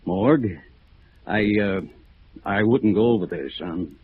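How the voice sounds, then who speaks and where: An actor playing an older small-town sheriff in a 1950s radio drama, husky and folksy, hesitant and quietly menacing. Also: male voice, lowish voice, dry recording. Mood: folksy, veiled warning. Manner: hesitant, folksy, drawn-out understatement. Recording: narrow, tinny old radio recording.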